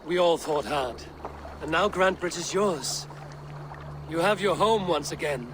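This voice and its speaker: An actor playing a loyal, stoic Viking warrior in a video game, speaking in a grave, measured way. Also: male voice, fairly high pitch, background noise, lightly acted. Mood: warm. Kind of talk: dramatic video game acting. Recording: loud music behind the voice.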